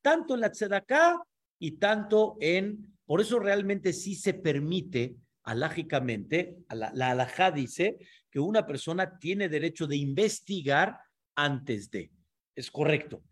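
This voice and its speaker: Rabbi teaching a class, high voice, explains step by step and keeps checking in. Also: male voice, lively intonation, measured pace. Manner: explanatory, checks understanding with frequent asides. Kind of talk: Torah class lecture.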